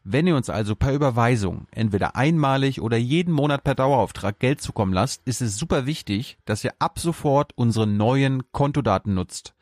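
A male host talks directly to viewers in a friendly, upbeat announcement. The sound is dry and close, with no room echo. His pitch stays in an ordinary middle range. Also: expressive, a little fast, hardly any pauses, darkish tone. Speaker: show host, appeal to supporters.

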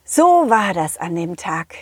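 A woman voicing a confident, loyal, kindly dog who tells his adventures to children in a chatty, halting, empathetic way. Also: on the low side, sing-song, darkish tone, husky, no room sound, voice pushed down, heavily acted. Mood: earnest, kindly. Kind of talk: children's audio play storytelling.

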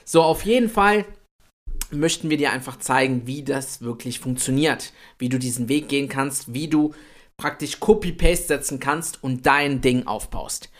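A man in his late twenties co-hosting a podcast, talking in a chatty, energetic way about building an online business. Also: mid-range voice, moderate pace. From Italy. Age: 20s. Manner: energetic, chatty, swears lightly. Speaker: podcast co-host. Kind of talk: casual podcast chat.

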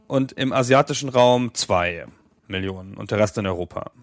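A man in a casual podcast discussion, recounting events in a measured, reflective way. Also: fairly low pitch, dry recording, studio quality.

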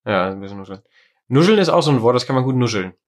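Hoarse man who mumbles. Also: medium pitch, racing. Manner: mumbles, relaxed. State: hoarse from a cold. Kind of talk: casual podcast chat.

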